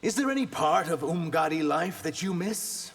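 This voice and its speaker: Raspy reptilian warrior. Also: male voice, a little high, lively intonation, moderate pace, fairly bright tone, exaggerated voice. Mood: earnest, wary. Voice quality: husky, raspy. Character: earnest, wary male reptilian humanoid warrior. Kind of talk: video game character dialogue. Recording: long hall-like reverb.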